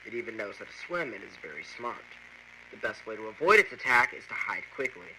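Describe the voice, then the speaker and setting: Man playing sharp, bright-voiced lab doctor, faint noise. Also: lowish voice, sing-song, brisk, exaggerated voice, low-quality recording. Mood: informative. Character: chatty male lab doctor, presenting creature files. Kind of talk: scripted instructional narration for amateur analog horror.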